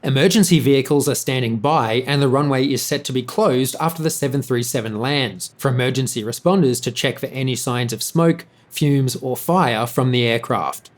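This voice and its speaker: A male narrator reads a scripted documentary piece at a medium pitch. His delivery is measured and factual.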